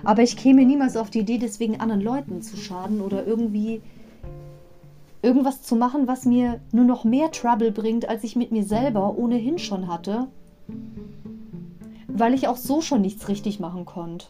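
A woman's voice: fairly high pitch, normal speed, dark tone, faint music behind. A woman hosting a fan podcast, retelling a children's audio story in a chatty, digressive way with personal asides. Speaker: podcast host.

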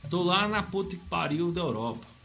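Brazilian man, casual chat, bright tone, slightly high pitch, slangy, faint music. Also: normal speed, gravelly. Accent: Brazilian Portuguese. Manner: rambling, slangy and animated, swears a lot.

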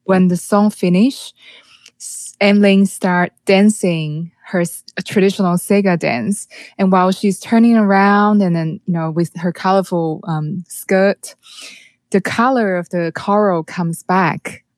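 A woman from Taiwan with a Taiwanese accent, speaking as an interview guest in a halting way, often repeating words as she searches for phrasing. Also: mid-range voice, fairly even intonation, a little mellow, no room sound. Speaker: music producer, interview guest. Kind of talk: conversational interview, telling personal experience.

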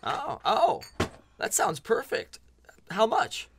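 A woman's voice: fairly high pitch, huge pitch swings, slow, a bit bright, a little hiss or hum, heavily acted. A woman playing a wry, conniving, exasperated character with a dry sarcastic manner in a comedic audio drama.

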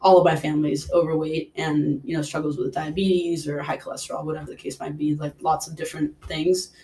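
A man's voice: a little high, flat delivery, brisk, dark tone, rough recording. A young man from Mexico, a personal trainer, chatting casually as a guest and stringing thoughts together with lots of "like". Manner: rambling, filler-heavy, says "like" a lot. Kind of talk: casual podcast interview.

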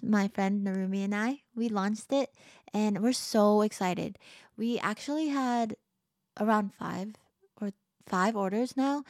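A woman's voice: medium pitch, a little mellow, no room sound, clean studio sound. Upbeat teen girl podcaster, a bit tired. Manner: bubbly, upbeat, lots of "like" fillers. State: a bit tired, voice lower than usual. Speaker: podcast host. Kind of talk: casual solo podcast chat.

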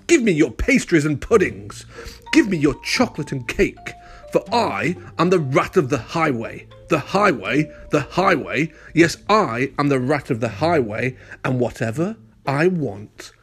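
A man voicing a greedy, boastful rat highwayman from a picture book who bellows his demands. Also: sing-song, unhurried, faint music behind. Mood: boastful bellowing.

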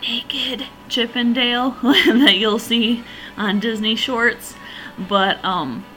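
A woman hosting her own podcast in a chatty, enthusiastic way, her voice slightly raspy and hoarse from allergies. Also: fairly high pitch, slow, sharp tone, soft background music, poor audio. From northern region. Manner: chatty, enthusiastic, rambling with fillers. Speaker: podcast host. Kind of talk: casual solo podcast review.

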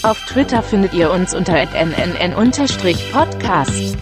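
A woman's voice: lowish voice, lively intonation, normal speed. A woman reading a scripted podcast outro announcement. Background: music.

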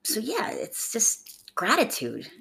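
A young adult woman hosting a podcast, raspy from a chest cold, talking in a chatty, upbeat, rambling way. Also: huge pitch swings, slow pace, bright, forceful tone, low-quality recording. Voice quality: gravelly, low voice. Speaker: podcast host. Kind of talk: casual motivational monologue.

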